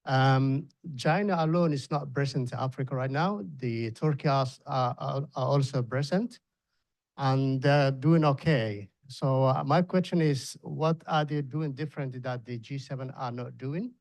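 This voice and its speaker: Man from Somalia, audience question, earnest, halting, dry sound. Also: mid-range voice, a little mellow, dry recording. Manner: earnest, halting, repeats words.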